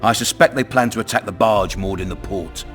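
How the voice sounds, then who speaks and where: An actor with a British accent playing a crude, cunning, menacing town commandant in a video game. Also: male voice, on the low side, brisk, fairly bright tone, faint music behind, close to an everyday voice. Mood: calculating. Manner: domineering, coarse, swaggering. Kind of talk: video game dramatic dialogue.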